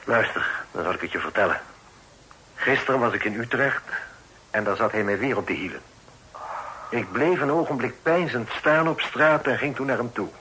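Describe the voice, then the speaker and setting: An actor playing a proud, protective middle-aged father in a period radio drama, speaking formally and with measured authority. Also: medium pitch, lively intonation, sharp tone, slight background noise, poor audio. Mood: measured, confiding. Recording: old radio play recording.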